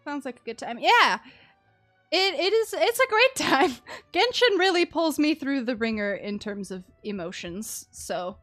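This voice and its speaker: Young woman game streamer, chatty n expressive, higher voice, casual livestream talk. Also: measured pace, faint music behind. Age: young adult.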